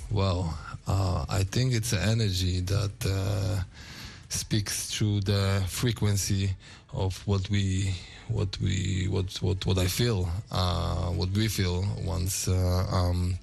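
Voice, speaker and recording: Deep gravelly man with a Polish accent. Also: flat delivery, darkish tone. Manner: halting, searching for words with many fillers. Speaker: guest rapper. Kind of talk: radio interview answers.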